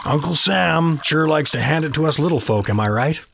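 A man's voice: fairly high pitch, expressive, a little fast, gravelly, noisy background. A man playing a chatty, oblivious middle-aged American dad who makes affable, corny small talk in an audio fantasy comedy. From rural South Dakota.

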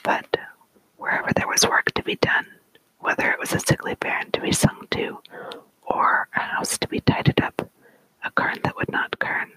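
Soft whispered storyteller. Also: dry recording, low-quality recording. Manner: soft unvoiced whisper, slow even reading.

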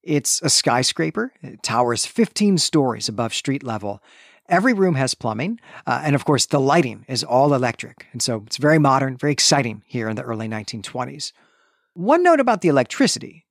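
A man's voice: mid-range voice, sing-song, brisk, creaky, no echo, clean studio sound. A man co-hosting a podcast, recapping and discussing fiction in a discursive, conversational way with frequent qualifications. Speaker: podcast co-host. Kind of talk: book discussion and story recap.

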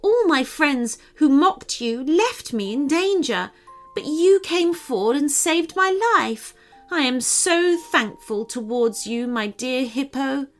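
Lady doing a proud pretty swan from a kids story, dramatic, slow and swoopy, music behind. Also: high voice, lively intonation, voice pushed up. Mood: remorseful. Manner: dramatic, emotive delivery. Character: vain beautiful female swan, haughty yet later tender.

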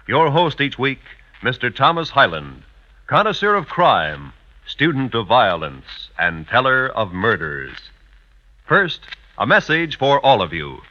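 A man's voice: medium pitch, big pitch swings, unhurried, bright, forceful tone. A man announcing a 1950s radio show in a formal, emphatic style. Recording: muffled vintage radio recording.

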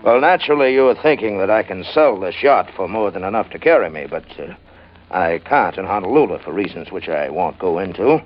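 Pompous, low-voiced shady gentleman schemer. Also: animated, fairly quick. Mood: evasive, grandiose. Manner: pompous, flowery, hesitant hemming. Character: pompous, florid, shady gentleman yacht owner, hard up. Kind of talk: old-time radio drama acting. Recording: dull, band-limited old radio recording.

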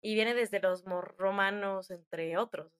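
A woman's voice: medium pitch, slow, clean tone. A young adult woman with a Mexican accent telling stories casually, in a chatty, slangy way with acted-out bits of dialogue. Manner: chatty, informal, slangy, animated retelling with quoted dialogue. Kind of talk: casual storytelling chat.

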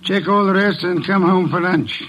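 A man's voice: high-pitched, fairly even intonation, normal speed, fairly bright tone. An actor playing a pompous, dryly ironic gourmand detective boss, commanding his assistant in an old radio drama. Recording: dull lo-fi old radio recording.

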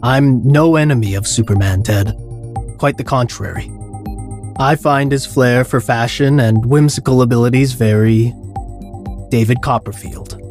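A man playing a self-important corporate tycoon in an audio drama, polished, measured and pompous in his speech. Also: medium pitch, a little mellow, soft background music, natural voice. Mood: smug, dismissive.

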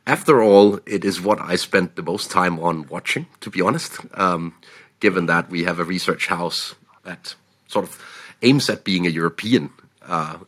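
A man with a Danish accent co-hosting a podcast, speaking in a measured way with frequent pauses. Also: deep voice, fairly bright tone, husky. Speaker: podcast co-host, analyst. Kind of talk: casual market discussion.

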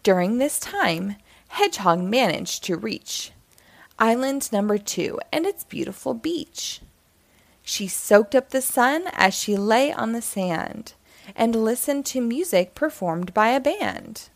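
A woman reads a children's story aloud in a fairly high, very lively voice. She takes her time over the narration, and the sound is close and dry. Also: slow, dry recording. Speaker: children's book reader. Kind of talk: expressive read-aloud storytelling.

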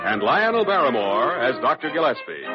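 A man's voice: medium pitch, sing-song, normal speed, natural voice. A man announcing a classic radio drama in a formal, scripted style. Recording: dull vintage radio recording. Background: violin and orchestral music.

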